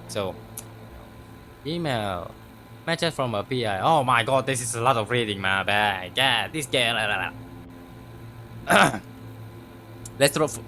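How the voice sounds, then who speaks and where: A man's voice: mid-range voice, bright, forceful tone, a little hiss or hum. A man doing casual let's play commentary, stumbling as he reads text aloud and swearing freely. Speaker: gaming video host.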